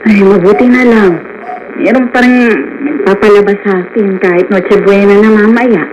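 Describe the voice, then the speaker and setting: A woman's voice: mid-range voice, fairly even intonation, normal speed, natural voice. An actress in a radio drama playing a hard-up, caring Filipino mother, earnest, motherly and long-suffering. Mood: weary, gentle. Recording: dull radio audio with slight noise.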